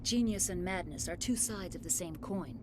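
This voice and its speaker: A woman's voice: fairly low pitch, moderate pace, background noise, natural voice. A woman voicing a shaken middle-aged female lead scientist who explains things earnestly and apologetically.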